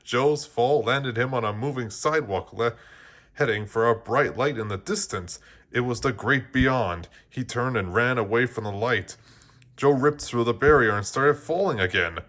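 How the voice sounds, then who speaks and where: Man reading a storybook aloud, halting delivery. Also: mid-range voice, a bit bright. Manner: halting, often restarts phrases. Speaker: storybook reader. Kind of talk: read-aloud storybook narration.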